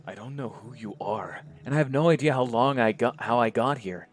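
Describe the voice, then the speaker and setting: A young man playing a dazed, sardonic male medic, talking in a wry inner monologue that slips into hushed whispers. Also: mid-range voice, a little fast, natural voice. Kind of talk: one-man voice acting.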